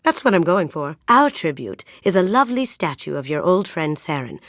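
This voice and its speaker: A sly young lady thief for a sci-fi game, wry n playful, lowish voice, really dry recording. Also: dry recording. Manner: wry, playful, coolly confident. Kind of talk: video game character voice acting.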